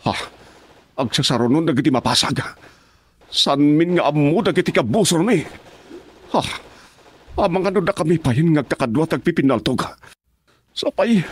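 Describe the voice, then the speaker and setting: A man's voice: fairly high pitch, expressive, normal speed, pauses often, breathy edge. An actor with a Filipino accent playing an uneasy, deferential gang henchman in a radio drama, speaking hesitantly. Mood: alarmed.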